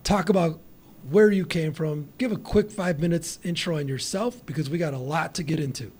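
A male podcast host with a husky voice that sits a little high for a man. He talks in an excitable, rambling way, often breaking off and restarting his sentences. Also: moderate pace. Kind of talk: casual interview.

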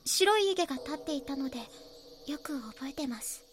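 A woman's voice: high-pitched, lively intonation, normal speed. A woman playing a polite, hesitant young girl who is an eyewitness in an audio drama. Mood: hushed, confiding.

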